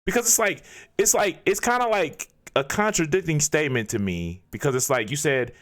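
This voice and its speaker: A man's voice: a little high, lively intonation, a little fast, fairly bright tone. A man hosting a reaction video, ranting in disbelief and firing off rhetorical questions. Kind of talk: casual reaction commentary.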